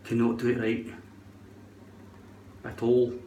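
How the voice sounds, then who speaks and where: A man's voice: mid-range voice, monotone, takes it slowly, mellow, slight background noise. A man rambling through a casual product tutorial in a shaky put-on Scottish accent, repeating words and swearing now and then. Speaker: vlogger demonstrating a hairpiece adhesive product. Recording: roomy, echoing indoor sound.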